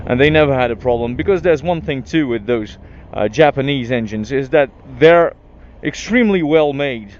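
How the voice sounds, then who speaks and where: A young Frenchman with a French accent chatting casually while riding his motorcycle through city traffic, halting and full of restarts. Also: mid-range voice, lively intonation. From France. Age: young adult. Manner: halting, many restarts and fillers, swears at traffic. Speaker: motorcycle vlogger. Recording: dull lo-fi helmet mic with faint traffic noise.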